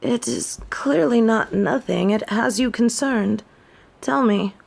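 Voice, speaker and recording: A woman playing a haughty, imperious yet softening fallen angel in a scripted audio roleplay, speaking in formal, theatrical phrases. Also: a little high, slow pace, vocal fry, full character voice. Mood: proud, softening.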